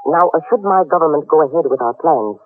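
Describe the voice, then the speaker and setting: An actor playing a polite, precise foreign army colonel who speaks formal English in an old-time radio drama. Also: male voice, a little high, expressive, quick pace, a little hiss or hum, lightly acted. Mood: grave, measured. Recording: muffled vintage radio recording, faint music.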